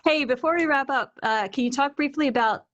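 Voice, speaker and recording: A woman's voice: high-pitched, fairly quick, a bit bright, dull audio. A woman chatting casually as an interview host and author, talking in a chatty, self-deprecating way.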